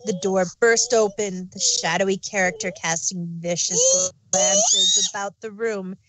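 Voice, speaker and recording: A woman's voice: mid-range voice, sing-song, unhurried, heavy background noise. A woman reading fan fiction aloud as an amateur storyteller and narrator.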